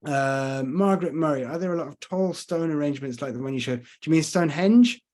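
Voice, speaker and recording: A man's voice: fairly high pitch, brisk, a little mellow. Chatty man with a London accent. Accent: London with slight Scottish traces. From Scotland and London. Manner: chatty, hesitant with many fillers. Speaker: tour guide. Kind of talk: casual online lecture with Q and A. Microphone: computer mic on video call.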